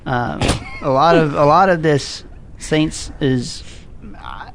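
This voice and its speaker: A man's voice: on the high side, slow pace, creaky, background noise. A man in his forties co-hosting a podcast, joking around in casual chat. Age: 40s. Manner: playful, joking. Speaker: podcast co-host.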